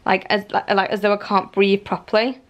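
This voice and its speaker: A woman's voice: medium pitch, little pitch movement, brisk, muffled recording. A young woman in her twenties, talking to camera at home in a casual, rambling vlog, full of likes and ums. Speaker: vlogger. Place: living room at home, indoors.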